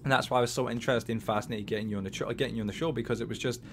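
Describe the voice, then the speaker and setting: A man in his twenties from Scotland with a softened Scottish accent, hosting a casual interview chat in a bubbly, energetic way with many stammered restarts. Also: mid-range voice, racing, background noise. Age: 20s. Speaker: podcast host.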